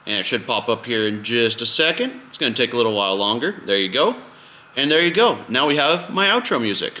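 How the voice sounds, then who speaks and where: A man's voice: mid-range voice, big pitch swings, brisk, sharp tone, reverberant, a little hiss or hum. A man hosting a casual step-by-step tutorial in an informal, conversational way. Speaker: video host giving a drone tutorial.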